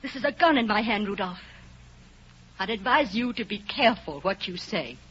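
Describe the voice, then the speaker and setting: Through a dull, faintly noisy vintage radio recording comes a woman acting a proud, wounded female agent. Her delivery is intense, clipped and melodramatic, in a medium pitch. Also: expressive, normal speed, a bit bright, lightly acted. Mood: cold, threatening. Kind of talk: 1930s radio drama acting.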